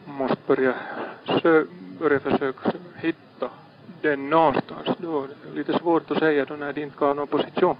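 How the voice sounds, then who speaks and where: A man with a fairly high voice speaks as a ship's radio operator. He handles distress traffic procedurally, repeating details back, over a dull, lo-fi marine radio channel. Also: little pitch movement, creaky.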